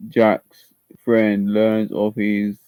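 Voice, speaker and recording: A man's voice: lowish voice, completely flat delivery, drawn-out words, smooth, no echo, phone-quality sound. A man with a slight Jamaican accent, chatting casually on a live stream and reading instructions aloud, often repeating and restarting his words. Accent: Jamaican-tinged. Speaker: livestreamer preparing an acting audition. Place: at home, seated.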